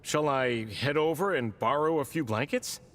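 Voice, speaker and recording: A man playing a kindly, helpful gentleman in a video game, speaking in a friendly, polite way. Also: fairly high pitch, normal speed, in a large hall, lightly acted.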